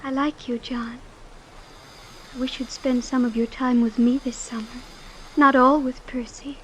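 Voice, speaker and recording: Sheltered rich teen girl, really high pitched, matter-of-fact and sure of herself, old radio play with some hiss. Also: level tone, unhurried. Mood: breezily self-assured. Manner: artless, matter-of-fact candor. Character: self-assured, sheltered, naive rich teenage girl in love. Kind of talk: old-time radio drama acting. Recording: noisy old radio drama broadcast.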